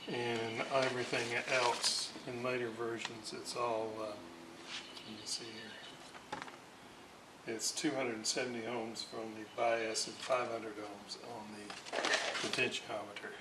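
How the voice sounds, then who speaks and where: Guy muttering slow through his repair work, lots of uh and um, echoey room, far off the mic, noisy. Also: mid-range voice, little pitch movement. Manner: slow, thinking aloud, many fillers. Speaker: electronics restorer. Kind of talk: casual workbench narration. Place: workshop bench. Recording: echoey, distant, noisy workshop audio.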